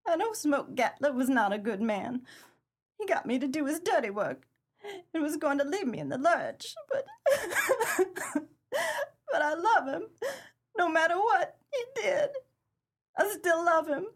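An actress playing a tough, bitter 1930s gangster moll in a pulp crime radio play, slangy and dramatic. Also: female voice, very high-pitched, big pitch swings, measured pace, long pauses, full character voice. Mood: tearful. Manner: slangy, hard-boiled, dramatic.

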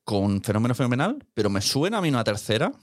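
A man's voice: medium pitch, very animated, fast talker, no room sound, clean studio sound. A man hosting a podcast from Catalonia, chatting casually with his guest and teasing her with jokes and laughter. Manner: jokey, teasing, laughs often. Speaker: podcast host. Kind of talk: casual interview chat. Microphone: Rode studio microphone.

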